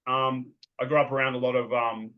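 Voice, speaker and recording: A man's voice: medium pitch, level tone, brisk, highs cut off. A man with an Australian accent, a guest telling his story in a loose, rambling way with lots of ums and restarts. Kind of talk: casual interview talk.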